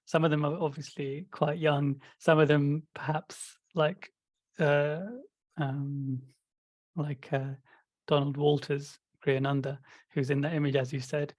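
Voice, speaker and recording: Higher-voiced man, flat and reflective. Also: no room sound. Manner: thoughtful, explanatory, with frequent fillers. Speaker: podcast co-host. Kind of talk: casual discussion.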